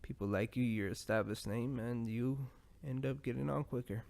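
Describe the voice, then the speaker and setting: Man, podcast host, gravelly voice, mid pitch, rambling, profane, casual monologue. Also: steady tone, normal speed, a little mellow. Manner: rambling, profane, laid-back.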